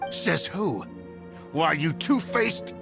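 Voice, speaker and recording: A man's voice: on the high side, very animated, takes it slowly, creaky, heavily acted. An actor playing an ageing, scheming, cowardly clan nobleman in a video game, defensive and blustering. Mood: indignant, defensive. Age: older. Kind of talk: scripted video game dialogue. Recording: loud music behind the voice.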